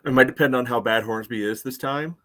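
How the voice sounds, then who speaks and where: A man co-hosting a soccer podcast, giving casual analysis in a measured, conversational way. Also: mid-range voice, bright, forceful tone.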